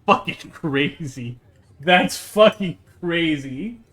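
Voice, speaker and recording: A man's voice: a little high, animated, slow, fairly bright tone. A man streaming a game live, reading it aloud and reacting with exclamations and some swearing. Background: game music.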